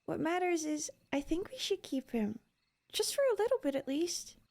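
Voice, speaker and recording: A woman playing a curious, playful, scholarly young elf in an ASMR roleplay, with a soft breathy voice and a coaxing, teasing manner. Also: extremely high pitch, very animated, moderate pace, full character voice.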